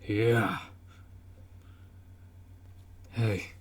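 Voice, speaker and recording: A man playing a gruff, dutiful orc warrior in a fantasy roleplay audio drama, blunt and protective as he speaks to the listener. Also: fairly low pitch, fairly even intonation, very slow, slight background noise. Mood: urgent, commanding.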